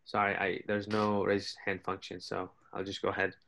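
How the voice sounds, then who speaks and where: Male workshop participant, low-pitched with heavy fry, plain-spoken personal storytelling over a video call. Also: completely flat delivery, moderate pace, a bit bright. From born in Iraq, grew up as a refugee in several countries. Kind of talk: personal testimony.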